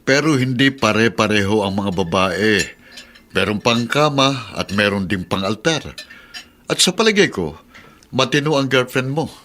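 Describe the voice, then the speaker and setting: A man's voice: medium pitch, animated, moderate pace, fairly bright tone, close to an everyday voice. A man playing a watchful, moralizing middle-aged uncle in a Filipino radio drama, fatherly and probing. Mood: advising. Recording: faint background noise, clinking dishes.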